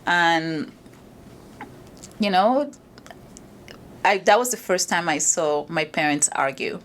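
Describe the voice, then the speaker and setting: A woman's voice: on the low side, lively intonation, takes it slowly, a bit bright, a little hiss or hum. A woman from Venezuela, a guest in an interview, telling stories about her upbringing with frequent restarts. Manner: storytelling, frequent restarts and "you know". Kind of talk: conversational interview.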